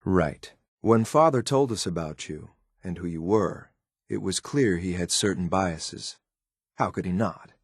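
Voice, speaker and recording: An actor playing a loyal, coldly disdainful synth soldier in a video game, speaking in a clipped, military, deferential but blunt way. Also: male voice, low-pitched, unhurried, breathy edge, no echo, studio quality, full character voice. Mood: grave, measured. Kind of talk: video game companion voice lines.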